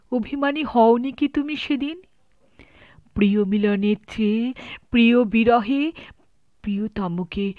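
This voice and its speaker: A woman recites poetry in a measured, expressive literary style, often softening into a breathy hush at phrase ends. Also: medium pitch, dry recording. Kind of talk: dramatic literary poem recitation. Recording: dull lo-fi, slightly muffled recording.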